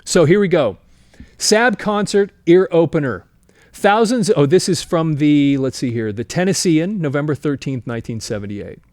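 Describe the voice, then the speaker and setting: Higher-voiced man, dry close recording. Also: animated, normal speed, darkish tone, no room sound. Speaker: host of a music history channel. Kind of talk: casual storytelling, reading out old articles.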